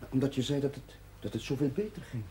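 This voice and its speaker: A man playing a gentle, concerned family friend who speaks haltingly in a naturalistic television drama. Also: mid-range voice, animated, lightly acted. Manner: hesitant, halting. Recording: noisy old television drama soundtrack.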